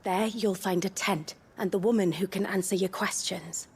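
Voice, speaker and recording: An actress playing a teasing, worldly young courtesan in a video game, with a husky, breathy voice and a languid, knowing manner. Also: female voice, mid-range voice, fairly even intonation, normal speed, a little mellow. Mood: frank. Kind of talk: dramatic video game voice acting.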